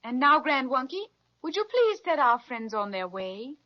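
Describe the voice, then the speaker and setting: A high sweet-mannered fairy queen, kind n formal, careful reassuring delivery, old time radio serial. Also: sing-song, normal speed. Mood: gracious. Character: kind, gracious fairy-tale queen of a magic land. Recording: dull old radio recording.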